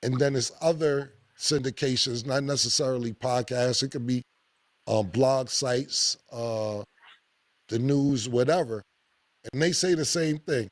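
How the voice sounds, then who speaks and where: A man, hosting a podcast with blunt, animated opinions and a lot of swearing. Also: measured pace, no room sound. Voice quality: deep voice. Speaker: podcast host. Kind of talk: casual opinionated podcast talk.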